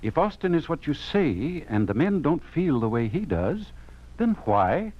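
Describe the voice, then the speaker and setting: An actor playing an obtuse official who defends the government line in a 1950s radio drama, formal and protesting. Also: male voice, a little high, sing-song, unhurried. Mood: bewildered. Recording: dull lo-fi 1950s radio recording.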